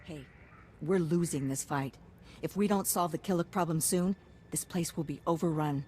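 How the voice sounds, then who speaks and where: A woman's voice: low-pitched, moderate pace, mellow, slight background noise, close to an everyday voice. A woman playing a pragmatic, hard-nosed military science commander in a video game, clipped and businesslike. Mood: urgent, clinical. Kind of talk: video game character acting.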